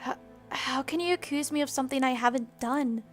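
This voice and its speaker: A woman's voice: high-pitched, a little fast, dry recording, faint music behind, close to an everyday voice. A woman voicing a proud young maid who protests being falsely accused. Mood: indignant. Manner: indignant, protesting.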